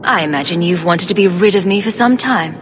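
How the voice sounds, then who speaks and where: A woman's voice: fairly low pitch, a little fast, slight background noise, lightly acted. A woman playing a resolute young champion in a video game, firm, earnest and commanding. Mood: calm resolve. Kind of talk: dramatic video game voice acting. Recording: long reverb, music behind.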